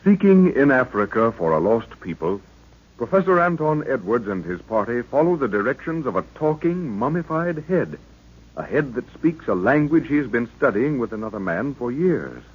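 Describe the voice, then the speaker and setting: A man delivering a dramatic old-time radio serial recap in a husky voice at medium pitch. His delivery is ominous and theatrical. It comes through a dull, lo-fi recording. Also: very animated, normal speed. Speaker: announcer.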